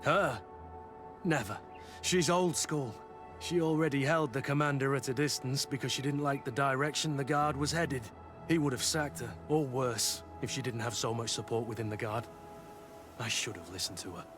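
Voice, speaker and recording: An actor playing a weary, loyal veteran soldier in a video game, speaking in an earnest, measured way. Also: male voice, mid-range voice, over music, close to an everyday voice. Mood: resolute, weary. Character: weary, loyal veteran mercenary soldier, plain-spoken and resolute. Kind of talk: video game dialogue acting.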